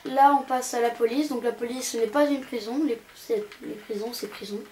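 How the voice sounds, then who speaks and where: A woman's voice: fairly high pitch, fairly even intonation, normal speed, mellow. A young woman giving a casual, rambling, hesitant commentary as she tours a video game. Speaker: gaming channel host. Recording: amateur home recording with background noise.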